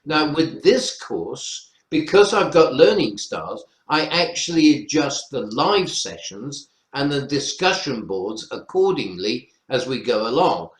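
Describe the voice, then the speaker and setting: A man's voice: medium pitch, very animated, a little slow, hardly any pauses. A man with a North Welsh accent, explaining his teaching practice as a lecturer on an online webinar panel. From North Wales. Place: indoor room, online webinar. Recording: roomy echo on webinar audio.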